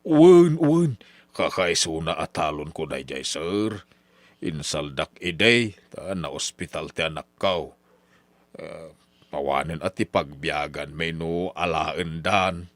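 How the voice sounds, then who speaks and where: Pushy older dad in an old radio drama, low voice, scheming, dry close sound. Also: male voice, lively intonation, slow, dry recording, full character voice. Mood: pleading, deferential. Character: ambitious, scheming older father, pushy and insistent.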